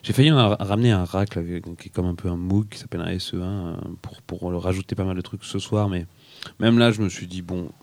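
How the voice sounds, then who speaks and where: A man's voice: low voice, steady tone, brisk, a little mellow, dry recording. A French-speaking man, a musician guest, chatting casually on a radio show and rambling with many restarts.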